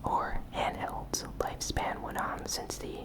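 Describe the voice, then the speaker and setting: A woman hosting an ASMR gaming news recap in a breathy whisper, with soft, unhurried, informal commentary.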